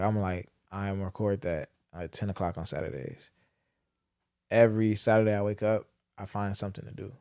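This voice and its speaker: A young man talks in loose, candid podcast chat, cursing casually as he reflects. His voice sits on the low side. The sound is dry and close, with little room around it. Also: darkish tone, smooth, no room sound. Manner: candid, profane, reflective storytelling. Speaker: podcast host.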